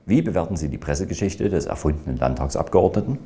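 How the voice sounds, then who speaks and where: Male interviewer, deep and dark tone, asking prepared questions. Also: lively intonation, quick pace, a little hiss or hum.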